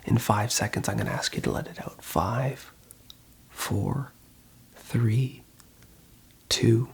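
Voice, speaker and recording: An ASMR host whispering in a breathy voice, giving reassuring, unhurried advice as a call centre phone counsellor. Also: medium pitch.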